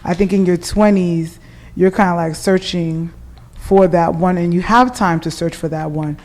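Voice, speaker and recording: A woman co-hosting a podcast, talking frankly and relaxed in casual chat, thinking aloud with a few fillers. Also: on the low side, moderate pace. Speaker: podcast co-host.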